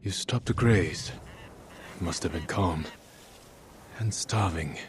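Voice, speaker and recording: An actor playing a stoic samurai in a video game, grave, terse and measured. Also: male voice, sits low, noisy background. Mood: tender, concerned. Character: stoic, solemn samurai warrior, devoted to his horse. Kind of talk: video game cutscene acting.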